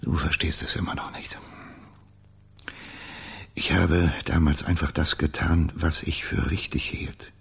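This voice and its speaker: An actor playing an evasive, self-justifying agency boss in a radio crime drama, measured and defensive. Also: male voice, extremely low pitch, flat delivery, gravelly, a bit bright, husky, in a whisper, exaggerated voice. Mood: contrite, measured. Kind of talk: German radio play acting.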